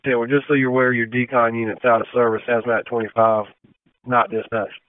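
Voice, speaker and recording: A man's voice: mid-range voice, flat delivery, brisk. A man working as a fire dispatcher, relaying information to units over the emergency radio. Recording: dry, narrowband radio channel.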